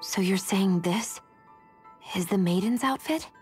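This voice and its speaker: A young cursed maiden from a fantasy game, played by a woman, guarded yet tender. She speaks slowly at a medium pitch, with faint music underneath. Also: a little mellow, natural voice. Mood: cautious hope. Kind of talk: dramatic video game cutscene acting.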